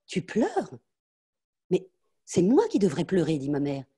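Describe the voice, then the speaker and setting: A woman reading aloud and voicing a strict, angry mother who scolds her little daughter in a sharp, insistent tone. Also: on the low side, huge pitch swings, fairly quick, husky, exaggerated voice. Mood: scolding.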